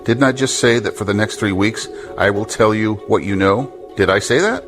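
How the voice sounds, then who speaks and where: An actor playing a harsh, domineering military drill sergeant in an audio drama, commanding and sarcastic. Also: male voice, on the low side, animated, normal speed, lightly acted. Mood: sarcastic, angry. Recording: music behind the voice.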